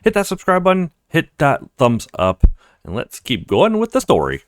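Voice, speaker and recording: A man's voice: on the high side, huge pitch swings, normal speed, no echo, studio quality. A man hosting a let's play, addressing viewers and reading a game's story aloud.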